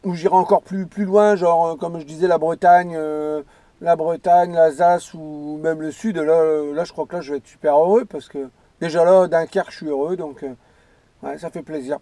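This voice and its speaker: A man's voice: on the high side, steady tone, moderate pace, mellow, no echo. A man chatting casually as an amateur radio operator, rambling and repeating his phrases. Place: in or beside a parked car outdoors.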